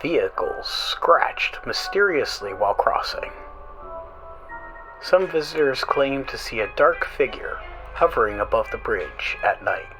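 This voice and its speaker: A man hosting a horror radio show, reading creepy stories in a slow, eerie, dramatic way, with music playing under his voice. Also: mid-range voice, low-quality recording. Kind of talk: scripted horror narration.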